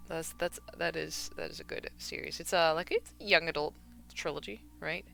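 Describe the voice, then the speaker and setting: A young woman streaming from home while she knits, chatting casually and rambling a little, her voice gravelly and slightly hoarse. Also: sits low, fairly even intonation, normal speed, sharp tone, soft background music. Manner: rambling, chatty, with fillers and laughs. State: a bit hoarse from not talking for hours. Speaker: craft streamer. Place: at home, alone.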